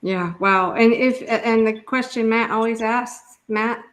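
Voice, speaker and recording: A woman's voice: on the high side, measured pace, a bit bright. A woman hosting a casual live interview. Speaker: host.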